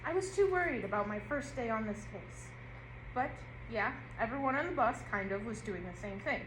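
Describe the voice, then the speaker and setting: A woman actor reading an audio play script at rehearsal in a measured storytelling way. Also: fairly high pitch, lively intonation, lightly acted. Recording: roomy and noisy rehearsal room.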